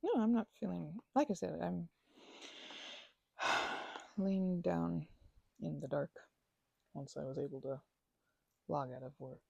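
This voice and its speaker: A woman's voice: fairly low pitch, gravelly, a little mellow, breathy edge, no room sound, full character voice. A woman playing a chatty, playful, bashful young housemate in an ASMR roleplay, rambling and stammering as if in casual conversation. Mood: drowsy, rambling. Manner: rambling, halting, stammering, giggly asides.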